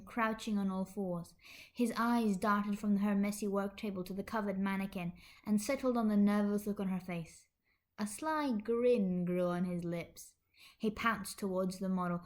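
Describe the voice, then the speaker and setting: A woman narrating fan fiction expressively as a podfic reader. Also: medium pitch.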